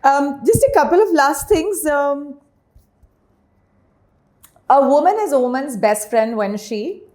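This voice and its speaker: A woman's voice: sits high, expressive, slow pace, darkish tone. A woman in her forties hosting an interview, asking curious questions and giving brief acknowledgements. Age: 40s. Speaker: talk show host.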